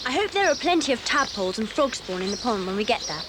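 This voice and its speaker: An earnest, friendly English schoolgirl in a children's audio drama. The high voice moves in lively swoops, with clear outdoor nature sound behind it. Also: fast, heavy background noise.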